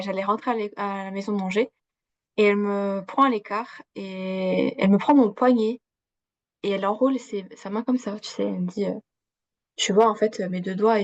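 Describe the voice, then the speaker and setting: A woman's voice: medium pitch, dry recording. A young woman born in Switzerland, a coach guesting on a podcast, talking casually with lots of fillers. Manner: chatty, many fillers, laughs easily. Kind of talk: casual conversational interview.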